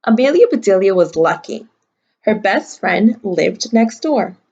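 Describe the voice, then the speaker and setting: A woman's voice: medium pitch, animated, measured pace, vocal fry, darkish tone, husky, dull audio. A woman teacher reading a picture book aloud to young children, adding simple explanatory asides. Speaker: kindergarten and first grade teacher.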